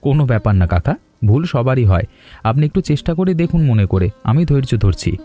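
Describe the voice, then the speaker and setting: A man's voice: mid-range voice, big pitch swings, fast talker, dark tone, lightly acted. A man voicing a polite, patient stranded traveller in a cartoon story, speaking courteously. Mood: polite, weary.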